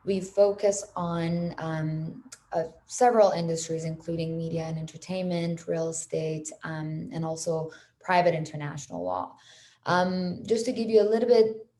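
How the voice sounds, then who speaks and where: A woman's voice: fairly low pitch, steady tone, normal speed, dark tone, a little hiss or hum. A woman in her thirties from Iran, whose first language is Persian, speaking in a friendly way on a panel with many fillers. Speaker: panelist, lawyer. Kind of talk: panel talk over video call.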